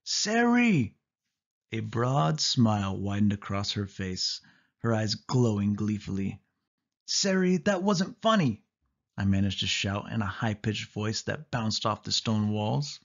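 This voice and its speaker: A man narrating a suspense story in the first person, with expressive, dramatic delivery. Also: lowish voice, a little slow, vocal fry, dull audio. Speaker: audiobook narrator.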